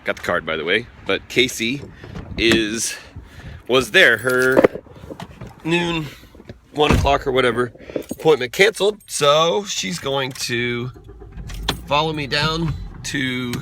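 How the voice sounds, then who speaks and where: Hoarse man chatting in a daily vlog. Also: medium pitch, very noisy. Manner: rambling, chatty, quick to laugh. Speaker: vlogger. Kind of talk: casual daily vlog chat.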